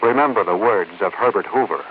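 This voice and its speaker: A man's voice: on the low side, a little fast, narrow, tinny audio. A man announcing a formal public-service message on an old radio show. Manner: formal and declaiming. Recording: thin hissy old radio broadcast.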